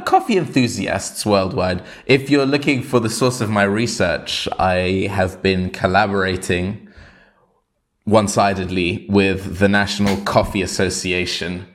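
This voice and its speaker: A man who grew up in Switzerland, co-hosting a casual podcast with a dry, wry, slightly formal way of talking. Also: low-pitched. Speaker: podcast co-host. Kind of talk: casual chat.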